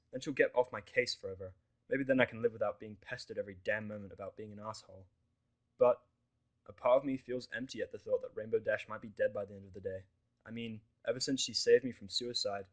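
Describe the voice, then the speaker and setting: A man reading scripted first-person fiction as the narrator of an audio story. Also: on the low side.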